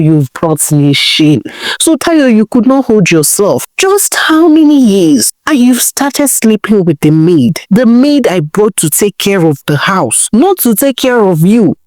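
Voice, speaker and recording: A woman's voice: medium pitch, darkish tone, no room sound. A woman storyteller voicing a betrayed, defiant wife with a Nigerian accent, scolding emphatically. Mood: wailing, reproachful.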